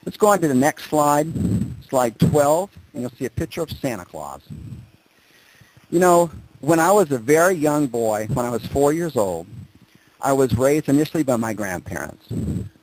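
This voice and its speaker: A man speaking as a motivational presenter, telling personal stories and driving points home with emphatic repetition and dramatic pauses. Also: mid-range voice, very animated, darkish tone, rough recording. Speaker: motivational speaker presenting on a group conference call. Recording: conference call audio.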